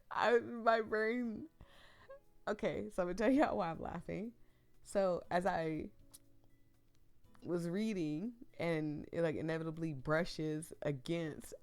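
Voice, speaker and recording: Giggly woman, hoarse from flu. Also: on the low side, expressive, takes it slowly, long pauses, faint music behind. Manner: giggly, rambling asides to viewers. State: losing her voice after the flu. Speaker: streamer playing a visual novel. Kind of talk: reading a visual novel aloud with chatty commentary.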